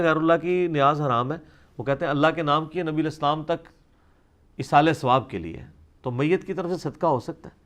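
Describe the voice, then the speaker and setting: A man answers religious questions in an informal, explanatory lecture, reasoning conversationally as a scholar. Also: medium pitch. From South Asia.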